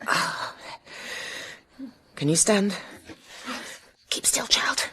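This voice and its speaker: A woman's voice: medium pitch, animated, drawn-out words, pushed and bright, slightly rough, full character voice. An actress playing a frightened young woman in a radio drama, speaking with urgency and strong emotion. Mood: pitying.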